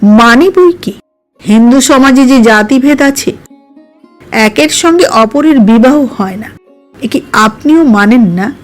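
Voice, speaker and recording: A woman voicing a modest, earnest young male doctor in an audio storybook, with a gentle, measured manner. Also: on the high side, lively intonation. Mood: firm. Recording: music under the voice.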